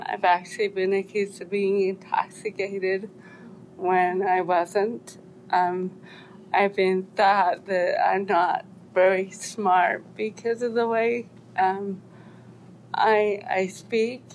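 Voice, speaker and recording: A woman in a discussion group sharing her experience, her speech slightly slurred and halting, with frequent restarts. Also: mid-range voice, flat delivery, a little hiss or hum, low-quality recording. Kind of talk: personal testimony.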